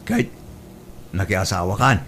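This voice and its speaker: An actor with an Ilocano accent playing a smitten, eager young bachelor wooing in an exaggerated comic radio-drama style. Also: male voice, mid-range voice, fairly bright tone, a little hiss or hum, full character voice.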